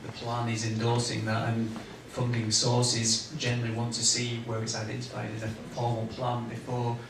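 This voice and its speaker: A man, a municipal planner, answering at a community meeting in a halting way with many fillers. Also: medium pitch, monotone. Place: community hall. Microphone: distant room mic. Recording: echoey hall from far off, noisy.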